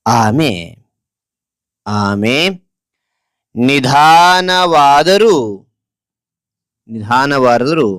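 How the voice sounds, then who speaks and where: A man teaching like a dictation lesson, reading out short phrases deliberately and repeating them word by word. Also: medium pitch, lively intonation, fairly bright tone, smooth. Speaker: teacher presenting a children's writing lesson. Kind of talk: slow dictation-style lesson, reading out a story point by point.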